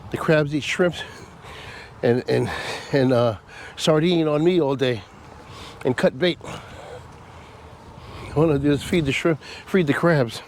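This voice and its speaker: A man sounding nasal and congested from a cold talks on a camera mic outdoors, rambling and chuckling at his own complaints. His voice sits a little high for a man, with faint traffic noise in the background. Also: slow pace, pauses often. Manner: chatty, rambling, laughs at himself. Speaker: travel vlogger. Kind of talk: casual walking vlog. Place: outdoor park by a causeway bridge.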